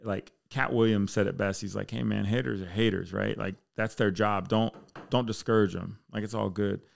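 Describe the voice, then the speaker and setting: A man's voice: lowish voice, fairly even intonation, moderate pace, vocal fry. An American man chatting casually and candidly as a podcast guest, with slangy, bro-heavy speech. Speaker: podcast guest, military fire chief.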